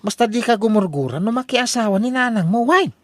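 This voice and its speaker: An actor playing an eager, scheming husband in an Ilocano comedy radio drama, with an exaggerated delivery. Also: male voice, very high voice, lively intonation, brisk, no echo. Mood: coaxing.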